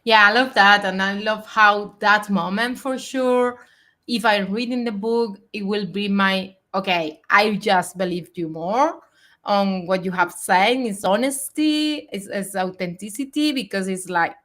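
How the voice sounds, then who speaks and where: A woman's voice: mid-range voice, unhurried, fairly bright tone. A woman in her forties hosting a live video chat, speaking in a reflective, earnest way with frequent fillers. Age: 40s. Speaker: host.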